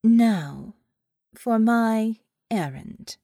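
A woman voicing a calculating female operative in an audiobook, speaking in a formal, measured and indifferent manner. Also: fairly high pitch, expressive, warm tone, no echo, studio quality, full character voice. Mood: delicate. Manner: formal, measured, delicate phrasing.

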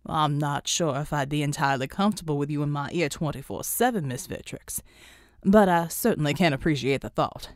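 Woman as male superhero team leader for an audiobook: low-voiced, courteous, assured, commanding, closely recorded. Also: no room sound, clean studio sound, lightly acted. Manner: polite, measured, commanding.

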